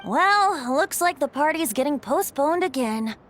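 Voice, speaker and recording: Whimsical young male bard for a game: high, very animated, flowery and teasing. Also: unhurried. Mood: playful. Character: dainty young male bard, playful, sly, whimsical drinker. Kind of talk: animated video game voice acting. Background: music.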